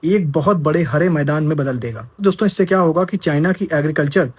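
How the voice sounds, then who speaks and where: Male news commentator, husky voice, dry close sound, friendly direct address. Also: fairly high pitch, fairly quick, dry recording. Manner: addresses viewers as friends, rhetorical questions.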